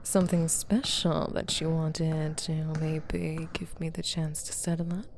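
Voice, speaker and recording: Haughty female demon buyer , voiced by a woman, ASMR roleplay. Mellow, dark tone, slow delivery, commanding and disdainful. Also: sits low, flat delivery, a little hiss or hum. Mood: wry, intrigued. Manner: stern, authoritative, condescending. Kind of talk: scripted ASMR roleplay, addressing unheard partners.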